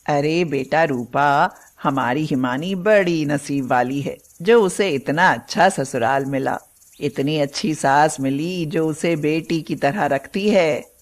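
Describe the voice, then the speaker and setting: A woman's voice: low voice, slow. A woman playing a protective middle-aged mother, emphatic and indignant, in a melodramatic cartoon moral story. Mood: fond.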